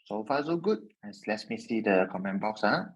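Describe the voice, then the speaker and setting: A man's voice: fairly low pitch, animated, moderate pace, breathy edge, muffled recording. A man closing a guest talk over a video call, speaking directly to viewers. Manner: wrapping up, addresses viewers directly. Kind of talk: online seminar talk.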